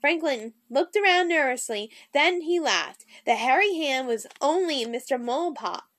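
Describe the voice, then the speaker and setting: A woman's voice: high-pitched, animated, moderate pace, a bit bright. A woman hosting a read-along, reading a children's storybook aloud.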